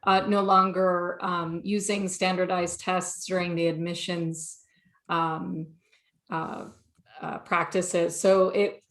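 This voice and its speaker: A woman's voice: on the low side, flat delivery, slow, a bit bright. A woman adding information in a workshop discussion, hesitant with many fillers, over a video call. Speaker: workshop participant. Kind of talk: informative comment.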